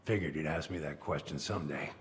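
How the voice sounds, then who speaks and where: An actor playing a gruff, weary middle-aged handyman in a video game, with a folksy, plain-spoken drawl. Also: a bass voice, fast, long reverb tail, slight background noise. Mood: regretful. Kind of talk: naturalistic video game acting.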